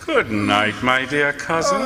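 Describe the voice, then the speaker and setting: A man's voice: on the high side, gravelly, heavily acted. A man playing a cruel, domineering villain in an old-time radio melodrama, commanding and mock-polite. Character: cruel, domineering male villain, gloating and menacing. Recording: reverberant hall, faint music behind.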